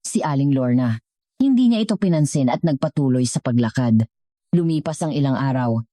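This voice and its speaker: A woman reading scripted dramatic story narration in a measured way, as the narrator of an audio drama. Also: very deep voice, expressive, warm tone, dry recording.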